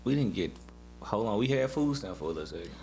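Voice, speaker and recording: A man's voice: on the low side, expressive, quick pace, mellow. A man in his twenties chatting informally as a podcast co-host, playfully arguing his point. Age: 20s. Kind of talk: casual couple banter. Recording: clearly noisy with a hum.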